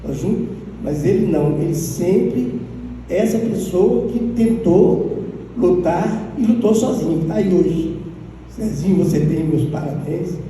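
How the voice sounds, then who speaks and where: A man's voice: high voice, expressive, dark tone, a little hiss or hum. Audience man praising, distant in a hall. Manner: halting, repeats tag questions. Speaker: audience member. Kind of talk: public tribute from the audience. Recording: distant mic in reverberant hall.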